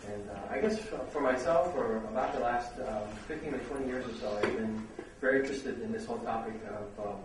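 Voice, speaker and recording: A middle-aged man hosts a university seminar, pausing often on ums and uhs. He is heard from a distance in a very echoey room. A steady hiss of noise sits underneath. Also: medium pitch. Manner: hesitant, full of fillers. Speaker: seminar host, vice dean. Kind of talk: opening remarks at a university seminar. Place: lecture room.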